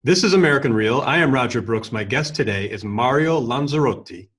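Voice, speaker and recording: A man's voice: medium pitch, animated, a little fast, fairly bright tone, slightly rough. A man hosting an interview podcast, asking questions politely and responding with brief affirmations. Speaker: podcast host.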